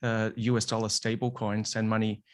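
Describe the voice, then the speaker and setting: A man's voice: lowish voice, little pitch movement, a little fast. A man co-hosting a podcast, speaking in a loose conversational way with fillers. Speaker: podcast co-host. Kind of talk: casual conversation.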